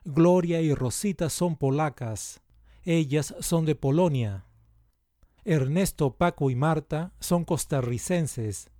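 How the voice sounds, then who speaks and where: A man with a fairly high, mellow voice reads short practice phrases one by one. His delivery is very slow and deliberate, recorded dry and close with no room sound. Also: no echo. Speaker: language teacher. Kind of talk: slow scripted language drill.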